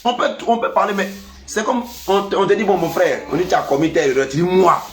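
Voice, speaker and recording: A man with an Ivorian French accent and a cold, talking casually to his followers in a lively, scolding way. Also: quick pace. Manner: lively, scolding, animated pitch jumps. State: has a cold. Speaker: vlogger. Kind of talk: casual monologue to camera.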